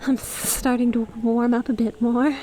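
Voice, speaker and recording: A woman in an ASMR roleplay voicing an affectionate young lamia best friend, softly and intimately, with some hesitation. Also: a little high, level tone, unhurried, slight background noise, natural voice. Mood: cozy, fond.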